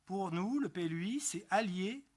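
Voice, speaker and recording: A man speaking as an elected councillor, giving a prepared political speech in a deliberate, didactic manner with emphatic repetition. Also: sits high, lively intonation, slightly rough. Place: council meeting.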